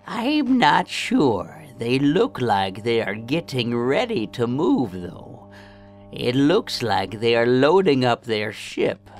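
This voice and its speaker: A man playing a hesitant spy in a sci-fi audio drama, speaking slowly and deliberately as he reports to a superior. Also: mid-range voice, sing-song, soft background music. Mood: cautious, obedient.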